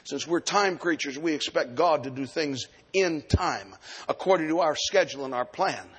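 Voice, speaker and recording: A man's voice: a little high, lively intonation, normal speed, slightly rough. A man in his sixties preaching a live sermon as pastor, with rhythmic repeated phrases. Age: 60s. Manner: rhythmic repeated phrases, swells into chanted shouting. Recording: long hall reverb, faint music behind.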